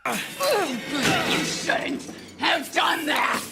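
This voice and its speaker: A woman voicing an eerie, wounded video game character, breathy and sweetly reproachful with an edge of menace. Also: high voice, animated, drawn-out words, shouting, loud music behind the voice, a loud crowd, full character voice. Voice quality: very breathy, husky.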